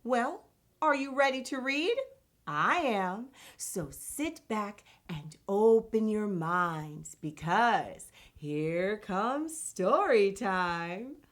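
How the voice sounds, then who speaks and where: A woman hosting a children's read-aloud, narrating in an expressive, deliberate way as she addresses young listeners. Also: medium pitch. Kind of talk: expressive children's storybook reading.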